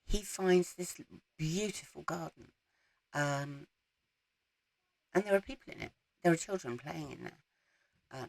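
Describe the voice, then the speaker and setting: Woman, croaky from a cold, rambling book chat with ums, slow delivery, close dry sound. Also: low voice, no room sound. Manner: chatty, rambling with frequent ums. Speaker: book vlogger. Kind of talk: casual reading wrap-up chat. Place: small garden shed.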